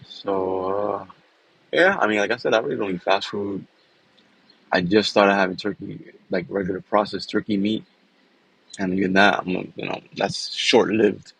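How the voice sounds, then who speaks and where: A man's voice: lowish voice, fairly even intonation, moderate pace, vocal fry, fairly bright tone, breathy edge, no echo, poor audio. A man, talking in a loose, casual way and often tagging his sentences with "man" and "you know.". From New York City. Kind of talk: casual personal vlog talk.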